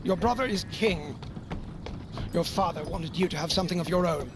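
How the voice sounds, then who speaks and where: Man acting a noble uncle, slightly high voice. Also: noisy background, close to an everyday voice. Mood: gentle, dry. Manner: measured, reassuring, dry humour. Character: loyal noble uncle, older man, gentle, dryly honest. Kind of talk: audio game drama acting. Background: horse hooves and outdoor ambience.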